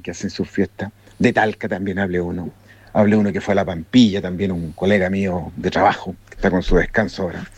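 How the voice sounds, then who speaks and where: Husky low-voiced Chilean man. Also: a little mellow, no echo. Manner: colloquial, emphatic, repetitive. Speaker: audience contributor giving an opinion to a show. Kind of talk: informal opinion monologue.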